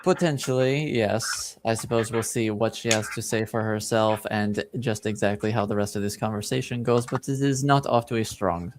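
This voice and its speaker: A man playing a courteous, deliberate Duke in a tabletop game, speaking formally. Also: on the low side, slight background noise, lightly acted. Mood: wary. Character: measured, trusting noble Duke, an uncle.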